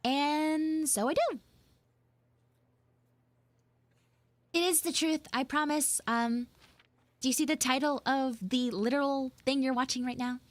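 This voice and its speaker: A woman's voice: high voice, normal speed, dry recording, natural voice. A young woman playing a flustered, pleading tsundere schoolgirl in a live roleplay, rambling and stammering dramatically. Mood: embarrassed, confessing. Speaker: live audio roleplay streamer. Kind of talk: improvised live character roleplay.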